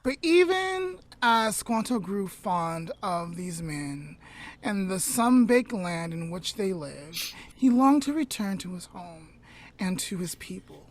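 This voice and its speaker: A woman podcast host telling a story aloud informally, in a measured way with dramatic pauses. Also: mid-range voice, very animated.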